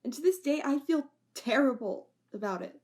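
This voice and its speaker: A sardonic former police officer carrying heavy guilt, played by a woman. Her voice sits fairly high, and she jokes drily even when she turns impassioned. The acting has an amateur audio-drama feel. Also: normal speed. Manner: wry, sarcastic, turning impassioned.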